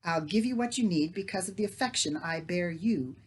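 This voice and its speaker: A woman's voice: on the low side, lively intonation, a little fast, slight background noise, natural voice. A woman voicing a stern, serious middle-aged male baker who speaks in a grave, firm way.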